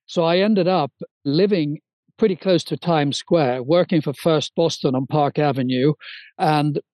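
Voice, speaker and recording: A man in his sixties who grew up in Sweden, recalling his career as an interview guest. His voice sits fairly high for a man and the sound is close and dry. He talks fluently and eagerly, with a few small restarts. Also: measured pace, a little mellow, no room sound. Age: 60s. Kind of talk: interview storytelling.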